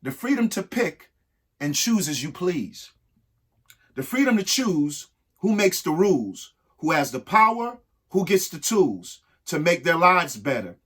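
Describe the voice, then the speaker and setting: A man reads a picture book to children with theatrical emphasis. His fairly high voice swings widely in pitch, and he takes his time, leaving dramatic pauses between phrases. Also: sing-song, fairly bright tone, husky. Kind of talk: expressive picture-book read-aloud with short asides to young listeners.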